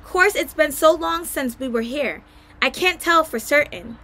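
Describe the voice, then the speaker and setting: A woman voicing a plain, observant country wife with gentle, folksy talk in a storybook reading. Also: high voice, a little slow, exaggerated voice. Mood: reflective.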